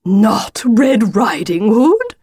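A woman's voice: mid-range voice, very animated, takes it slowly, a little mellow, no echo, clean studio sound, pitched above the performer's own voice. Woman putting on a pompous, swooping storybook wolf. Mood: indignant, puzzled. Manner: grandiose, emphatic, wheedling old-fashioned phrasing. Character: pompous, theatrical, insistent storybook wolf, male, easily dismayed.

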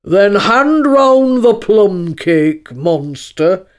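Storybook lion, played by a woman: huge, tired and commanding, very slow, yawning, hollow delivery. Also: very animated, a little mellow. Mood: lazy command. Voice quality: hollow, booming. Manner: yawning, drawn-out speech. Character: huge, weary, sleepy male lion.

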